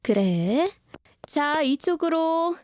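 A woman playing a kindly, encouraging hospital doctor in an audio drama, talking in a gentle, familiar, reassuring way. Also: sits high, very animated, drawn-out words, dry recording, studio quality, full character voice. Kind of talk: Korean audio drama acting.

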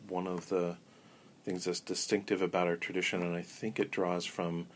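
A man answering interview questions in a measured way, pausing often with ums and uhs. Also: sits low, level tone, slight background noise. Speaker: interview guest on a pagan tradition. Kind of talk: thoughtful casual interview answers.

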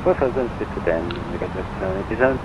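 A man's voice: on the low side, fast talker. A man speaking as a pilot, reading back instructions over the radio. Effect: radio transmission. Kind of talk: radio readbacks. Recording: dull radio with loud cockpit noise.

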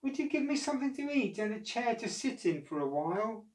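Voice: very animated, fairly quick, reverberant, close to an everyday voice. A reader voicing a hungry, eager young boy. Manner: high, pleading.

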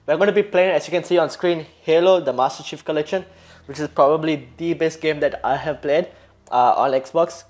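Slightly high-voiced young man, casual gaming host. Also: fairly quick, a little mellow. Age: young adult. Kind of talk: casual series introduction to camera. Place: small indoor room.

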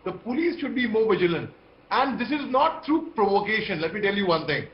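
A man's voice: sits high, big pitch swings, a little fast. A man with an Indian accent giving emphatic, impassioned, repetitive commentary as a panelist on a TV debate over a video call. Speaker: TV debate panelist, army veteran. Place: indoor room on video call. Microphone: video call microphone. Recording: muffled, echoey video-call audio.

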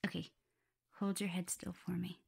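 A woman playing a caring, flustered young omega werewolf in an intimate ASMR roleplay, soft and often breathy and whispery, fussing as she talks. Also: lowish voice, little pitch movement, normal speed, a little hiss or hum. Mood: tender, caring. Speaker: audio roleplay performer.

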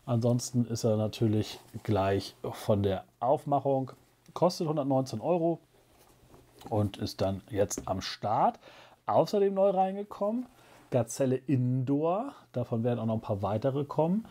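A man's voice: mid-range voice, big pitch swings, unhurried, dark tone, husky. A man in his forties presenting a casual shop show to camera, chatting in a rambling, jokey, self-ironic way. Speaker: shop owner presenting a weekly web show. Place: small cluttered indoor room.

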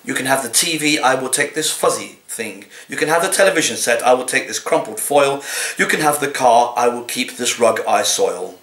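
A man in his sixties reads comic poetry like an actor, with a deliberate, dramatic and expressive delivery, recorded in a living room. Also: mid-range voice. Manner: deliberate, theatrical, expressive delivery in a cat's persona. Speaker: actor reading poems. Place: small living room, indoors. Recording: roomy, echoey home recording.

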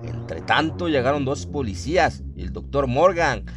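A man's voice: medium pitch, sing-song, normal speed. A man narrating a scripted horror radio drama as its storyteller. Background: atmospheric music and sound effects.

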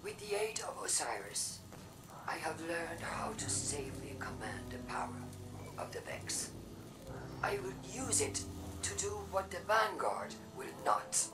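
A proud female robot demagogue delivers a theatrical game speech. She speaks slowly and deliberately, her pitch swooping dramatically. The voice sounds distant and echoey, with music behind it. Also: sing-song, heavily acted. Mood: resolute. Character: proud, fearmongering female robot faction leader addressing a city.

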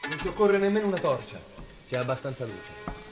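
An actor playing a stern medieval male inquisitor in a radio drama, measured and brooding as he reads from an old book. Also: a little high, big pitch swings, over music. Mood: commanding.

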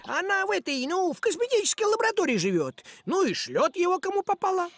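A woman voicing a sly, lively young animal schemer in a cartoon, playful and theatrical. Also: very high-pitched, fast talker, slight background noise. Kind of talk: animated series voice acting. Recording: music behind the voice.

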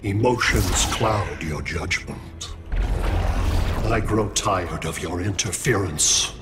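A man's voice: low-pitched, slow pace. A man playing a cold, arrogant alien android villain in a video game, detached, precise and imperious. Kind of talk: video game character acting. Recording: music under the voice.

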